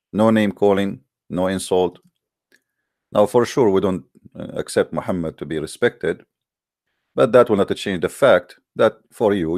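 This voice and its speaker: A man's voice: low-pitched, darkish tone, dry recording, studio quality. A man from the Middle East, a native Arabic speaker with an Arabic accent in English, hosting a religious call-in debate with a combative, boastful, emphatic monologue in short phrases.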